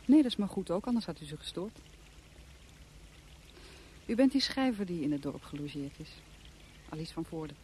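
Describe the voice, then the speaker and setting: A woman's voice: fairly low pitch, expressive, moderate pace, long pauses, dark tone, a little hiss or hum, natural voice. An actress playing a forthright, nonchalant woman from a country house in a radio drama, speaking in a direct, dryly offhand way. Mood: brisk, challenging.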